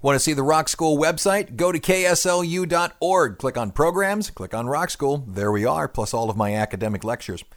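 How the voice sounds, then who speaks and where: A man's voice: on the high side, expressive, moderate pace, hardly any pauses. A man hosting a rock music radio podcast, talking in a chatty, explanatory, lecture-like way. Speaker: radio show host. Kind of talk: casual talk radio.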